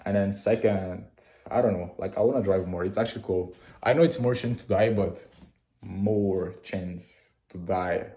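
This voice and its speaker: A man's voice: low voice, steady tone, moderate pace, mellow, echoey room. A young man of nineteen talking through his goals in a casual daily vlog, rambling with many fillers and restarts. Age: late teens. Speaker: vlogger.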